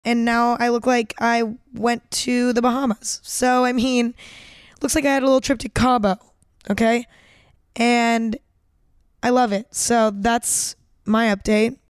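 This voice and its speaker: A woman of nineteen hosting a casual solo podcast, chatty and rambling, a little hoarse with cracking voice. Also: a little high, little pitch movement, measured pace, dry recording, studio quality. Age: late teens. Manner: chatty, rambling, self-deprecating. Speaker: podcast host.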